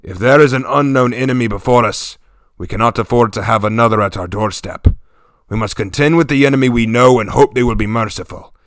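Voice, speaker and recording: A man's voice: medium pitch, a bit bright, no room sound, studio quality, close to an everyday voice. A man doing a stern old warlord chieftain. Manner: commanding, grave, slow and weighty. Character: stern aging male warlord chieftain, gruff father.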